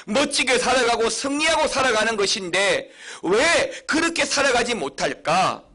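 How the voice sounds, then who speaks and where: Busan-accented pastor preaching with high, lively pitch. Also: male voice. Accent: Busan Gyeongsang. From Busan, South Korea. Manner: emphatic preaching with sudden high, loud stresses. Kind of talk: sermon.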